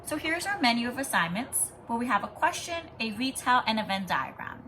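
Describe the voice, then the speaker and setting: Bright-voiced woman reading to kindergarteners. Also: fairly high pitch, fairly quick, slight background noise, rough recording. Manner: animated, explanatory, addressing young children. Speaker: kindergarten teacher. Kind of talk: expressive picture-book read-aloud with teaching asides.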